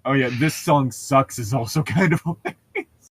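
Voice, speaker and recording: A man's voice: mid-range voice, moderate pace, breathy edge, dry recording. A man co-hosting a comedic read-along podcast, chatting casually with crude, profane humor. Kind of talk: casual banter between book readings.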